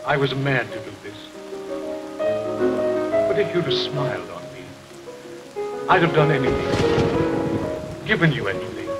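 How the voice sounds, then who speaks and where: A man playing an anguished, guilt-ridden, lovesick gentleman in melodramatic 1930s film style. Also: medium pitch, expressive, slow, pauses often, poor audio. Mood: mournful, remorseful. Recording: old 1930s film soundtrack. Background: music and rain.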